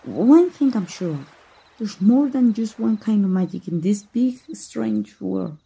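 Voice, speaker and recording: A woman playing a tender, lovestruck young princess in a romantic ASMR roleplay, speaking softly, haltingly and with feeling. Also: mid-range voice, expressive, creaky, husky, heavily acted, poor audio. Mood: tender, determined. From Mexico. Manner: halting, emotive, with gasps and sighs. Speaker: voice actor.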